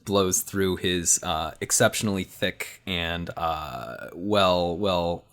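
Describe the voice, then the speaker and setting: A man casually improvising scene narration as a roleplay player, halting as he thinks aloud. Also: low-pitched, a bit bright, clean tone.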